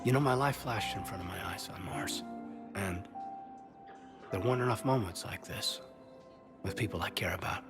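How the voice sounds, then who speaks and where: A man playing an earnest, sincere soldier in a video game, speaking in a soft, hesitant way. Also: lowish voice, normal speed, pauses often, close to an everyday voice. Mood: relaxed, reflective. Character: earnest male soldier, adult, sincere, restless, quietly yearning. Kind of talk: naturalistic video game voice acting. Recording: music behind the voice.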